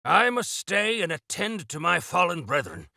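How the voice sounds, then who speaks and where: An actor playing a defiant, feisty old exiled warrior-engineer in a video game, gravelly and theatrical, with grandiose, archaic turns of phrase. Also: male voice, high voice, sing-song, moderate pace, pushed and bright, dry recording, clean studio sound, full character voice. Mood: grave, resolute. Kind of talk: video game character acting.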